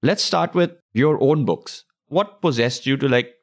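A man's voice: mid-range voice, a little slow. A man hosting an interview, asking questions casually and often affirming with "yeah.". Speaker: interviewer host.

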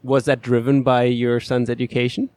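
A man interviewing a guest, asking measured, thoughtful questions in a conversational way. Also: mid-range voice, flat delivery, darkish tone, dry recording.

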